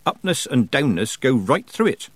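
A man's voice: mid-range voice, very animated, normal speed, husky, no echo, pitched above the performer's own voice. A man voicing a stern, prickly old country witch in an audiobook, with a growling voice and brusque, folksy turns of phrase. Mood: triumphant, instructive. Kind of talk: audiobook character voice.

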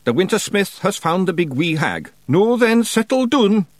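A man's voice: sits high, very animated, moderate pace, no room sound, pitched above the performer's own voice. A man voicing a small, commanding fairy clan leader with a Scottish accent and emphatic, rousing dialect for an audiobook. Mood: grave, rallying.

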